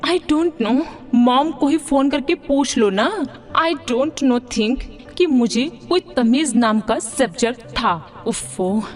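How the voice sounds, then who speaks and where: A woman's voice: sits high, slow pace, soft background music. A woman voicing a spoiled, whiny young city bride in a Hindi cartoon, demanding and dropping in English words. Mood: irritated. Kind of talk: Hindi cartoon moral story dialogue.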